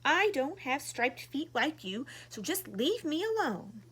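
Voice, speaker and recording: Casting: woman as storybook lady walrus, grumpy, brusque, lively high pitch. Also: unhurried, slight background noise, heavily acted. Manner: grumbling, brusque.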